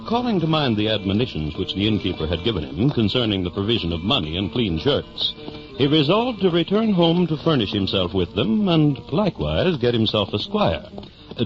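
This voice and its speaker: An actor playing the narrator of a mock-heroic tale, telling it in a formal, theatrical old-time radio style. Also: male voice, mid-range voice, natural voice. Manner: theatrical, formal, measured storytelling. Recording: dull old radio recording. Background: music.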